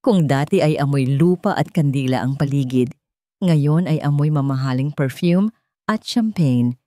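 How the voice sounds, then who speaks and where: A woman's voice: low-pitched, unhurried, warm tone, dry recording, clean studio sound. A woman with a Filipino accent reading a scripted story in the first person as a dramatic audiobook narrator.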